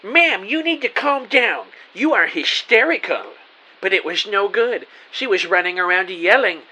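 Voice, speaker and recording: A man playing a self-important, excitable private investigator, narrating his case theatrically in an audio drama. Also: high voice, measured pace, full character voice, rough recording. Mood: alarmed. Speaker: narrator and lead actor. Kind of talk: first-person detective audio drama narration.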